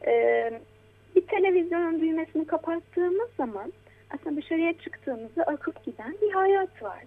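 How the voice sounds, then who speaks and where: An adult woman talking conversationally as a programme guest, encouraging and gently explaining things as if to a child. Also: very high-pitched, expressive, a little slow. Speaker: guest commentator on children's media. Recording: tinny, phone-like narrow band.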